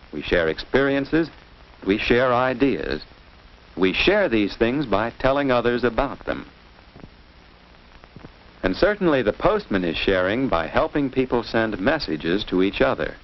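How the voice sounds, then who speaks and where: An American man reading scripted narration for a 1950s children's instructional film, in a didactic way, putting questions to young listeners. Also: fairly low pitch. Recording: muffled, noisy old 1950s film soundtrack.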